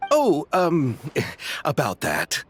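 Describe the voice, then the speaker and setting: A high-pitched, swooping theatrical film director. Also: male voice, wildly swooping pitch, drawn-out words, a little hiss or hum, full character voice. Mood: sheepish. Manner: flowery, melodramatic, effusive. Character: excitable, theatrical male film director, gushing and dramatic. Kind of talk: video game voice acting.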